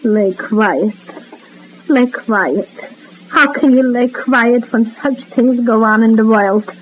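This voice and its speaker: A woman's voice: a little high, slow pace. An actress playing a stubborn, loving housewife and mother in an old-time radio soap opera, with folksy grammar and repeated phrases. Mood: weary, placating. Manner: folksy immigrant-style grammar, repeats herself. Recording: lo-fi old radio recording with hiss.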